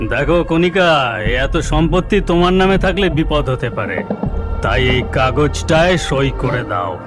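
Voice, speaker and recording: A man's voice: fairly high pitch, expressive, a little slow. A man voicing a bullying, wheedling villain in a horror cartoon, insistent and commanding. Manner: insistent, commanding, repeating orders. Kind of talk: Bengali horror cartoon dialogue. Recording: music bed behind the voice.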